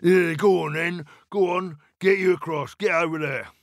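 Booming male troll, huge, hungry, menacing, bellowing, gravelly, storybook villain. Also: fairly even intonation, dry recording, studio quality. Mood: grudging, impatient. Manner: bellowing, threatening, drawn-out calls.

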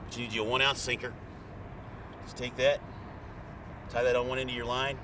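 A man's voice: mid-range voice, flat delivery, moderate pace. A middle-aged man, casually explaining step by step how to tie a fishing rig. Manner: plain, folksy, step-by-step instructions. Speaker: fishing guide. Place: outdoors on a boat on a lake. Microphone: camera mic from a few feet away. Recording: distant outdoor camera audio with faint boat noise.

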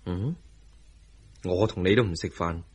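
A young husband in a Hong Kong Cantonese radio drama. His voice is lowish and husky, and he is affectionate but assertive, quietly insisting on his way. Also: a little slow. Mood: intimate, insistent.

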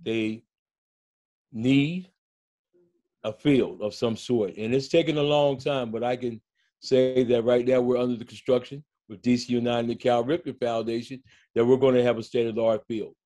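An older man and school coach speaking on a video call from his home, telling stories in a slow, reflective way during a panel discussion. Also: medium pitch, fairly even intonation, dark tone. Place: home room, indoors.

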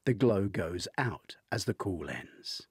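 An older man reads his own thriller as an author-narrator. His voice is low and rough-edged rather than smooth. The sound is dry and close. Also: sing-song, takes it slowly, no echo.

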